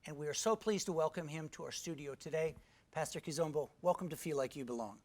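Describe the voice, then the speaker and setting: A man's voice: a little high, fast talker, a little mellow. A man hosting a studio interview show, giving scripted introductions and short friendly prompts to his guest. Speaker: TV show host.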